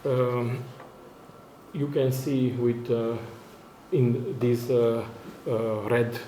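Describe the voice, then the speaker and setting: A male academic speaks slowly in a mellow voice with a Romanian accent, presenting formally in a second language. His delivery is very halting, with frequent searching for words. The room is noticeably echoey. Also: medium pitch, steady tone. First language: not English, which is a fourth working language. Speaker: academic conference speaker, university lecturer. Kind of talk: formal conference presentation in non-native English. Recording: echoey room, faint background noise.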